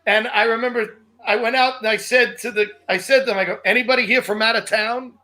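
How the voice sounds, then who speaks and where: A man's voice: very high voice, steady tone, quick pace, sharp tone. A man who works as a comedian, chatting casually and trading funny memories on a talk show. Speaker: comedian and podcast host. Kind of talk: casual comic chat.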